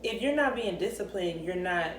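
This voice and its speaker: A woman's voice: on the low side, lively intonation. Thirtyish woman co-host, emphatic and conversational; roomy echo, light background hiss. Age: 30s. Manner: emphatic, conversational, quick back-and-forth. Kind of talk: candid casual chat. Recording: echoey room with faint ambient noise.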